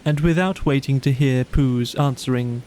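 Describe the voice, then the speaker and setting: A man's voice: medium pitch, normal speed, darkish tone, slight background noise. A man narrating a children's bedtime story as an audiobook reader.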